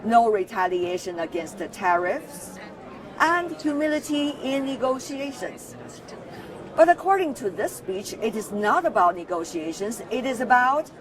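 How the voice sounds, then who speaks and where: A woman's voice: on the high side, recorded from a distance. A woman news host delivering scripted narration in a news report.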